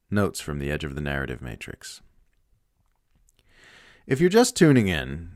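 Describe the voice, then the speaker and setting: Male narrator for written commentary, deep register, dry studio-like sound. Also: no room sound. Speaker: essay reader. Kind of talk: scripted narration of a political essay.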